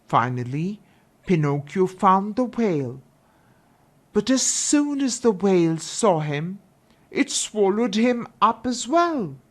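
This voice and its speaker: A woman narrating a children's fairy tale with deliberate, expressive phrasing. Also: medium pitch. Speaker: storyteller.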